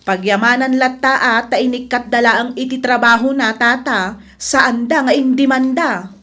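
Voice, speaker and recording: A woman's voice: on the high side, moderate pace, pushed and bright. A woman with an Ilocano Filipino accent playing a gossiping, resentful young tell-tale in a radio drama. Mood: gossipy, accusing.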